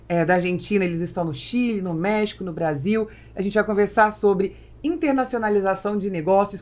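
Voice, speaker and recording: A woman in her forties with a Brazilian accent, hosting an interview conversationally into a studio microphone. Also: medium pitch. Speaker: podcast host. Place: small acoustically treated podcast studio. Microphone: studio microphone on boom arm.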